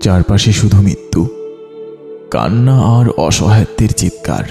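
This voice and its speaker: A man giving solemn, measured, scripted narration of a horror story. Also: on the low side, very animated, creaky, music in the background.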